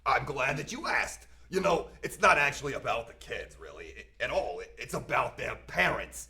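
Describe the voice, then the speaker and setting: Man playing a creaky, whiny monster villain. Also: medium pitch, very animated, moderate pace, pushed and bright, heavily acted. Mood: smug, aggrieved. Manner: rambling, stammering, self-justifying. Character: whiny male Krampus, aggrieved theatrical villain. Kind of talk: comedic audio drama acting.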